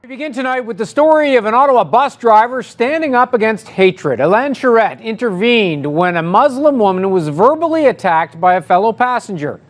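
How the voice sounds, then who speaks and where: High-voiced male news anchor. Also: animated, fairly quick, few pauses. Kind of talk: scripted news introduction.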